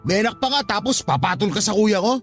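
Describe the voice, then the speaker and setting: A man's voice: sits high, expressive, fairly quick, fairly bright tone, faint music behind. An actor playing a jealous, proud young father in a radio drama, arguing defensively. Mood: defensive, stubborn. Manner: argumentative, defensive. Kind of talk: Tagalog radio drama acting.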